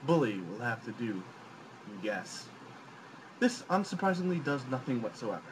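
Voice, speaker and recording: A man's voice: mid-range voice, very animated, normal speed, slight background noise, poor audio. A man reading scripted narration in a wry, theatrical storytelling way on an amateur home recording. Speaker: narrator reading a webcomic aloud.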